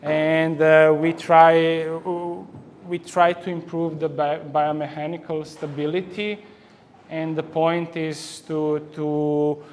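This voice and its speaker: A man with a Croatian accent giving a semi-formal conference presentation, haltingly and often repeating words. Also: a little high. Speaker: conference speaker, forestry professional. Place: large echoey indoor hall. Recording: reverberant hall, faint background noise.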